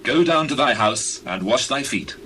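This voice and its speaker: A man plays a commanding ancient king in dramatized scripture. His mid-pitched voice moves widely in intonation while staying measured and authoritative, with faint ambience behind it. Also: expressive, bright, forceful tone, a little hiss or hum, low-quality recording.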